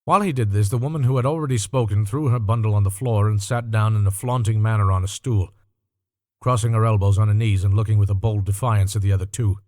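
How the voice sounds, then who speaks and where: A man's voice: on the low side, fairly even intonation, quick pace, warm tone, no room sound, studio quality. A man narrating a classic story as an audiobook reader, in a scripted literary storytelling style.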